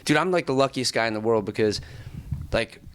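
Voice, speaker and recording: A man's voice: medium pitch, animated, brisk, a little hiss or hum. A man in a band, speaking earnestly in a rambling, casual way and swearing now and then. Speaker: band member. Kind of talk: casual podcast chat.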